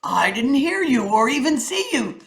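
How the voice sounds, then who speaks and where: A woman's voice: high voice, big pitch swings, normal speed, reverberant, voice pushed up. A woman voicing a defensive iguana in a storybook read-aloud, plainly protesting that it did nothing wrong.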